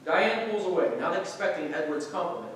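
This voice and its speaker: A man's voice: high-pitched, animated, a little fast, recorded from a distance. Male narrator for a staged reading, scripted, heard from afar with faint noise and echo. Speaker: stage directions reader. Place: large echoey indoor room.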